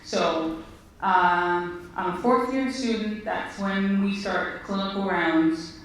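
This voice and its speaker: A woman's voice: medium pitch, slow. A woman physician, telling her story earnestly and reflectively in a conversation. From Israel. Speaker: physician guest. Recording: echoey room, distant mic, faint noise.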